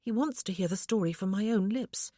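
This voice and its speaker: A woman voicing a gentle, earnest young woman who speaks in a light, hesitant way. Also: mid-range voice, fairly quick, no room sound, studio quality, voice pushed up, lightly acted. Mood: troubled.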